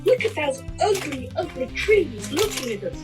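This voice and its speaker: A woman's voice: sits high, big pitch swings, normal speed, soft background music. A woman voicing a weary, nervous little girl in a children's puppet play. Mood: nervous complaining.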